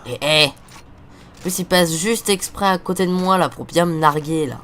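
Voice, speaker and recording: A man's voice: on the high side, a little slow, pushed and bright, a little hiss or hum. A teenage boy with a cold, hosting a casual let's-play and rambling on while swearing at the game. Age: teens. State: has a cold, stuffy. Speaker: gaming video host.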